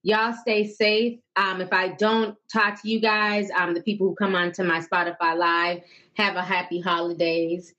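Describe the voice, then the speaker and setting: A woman's voice: lowish voice, level tone, moderate pace, sharp tone, highs cut off. A woman hosting a call-in show, chatting casually and giving listeners advice.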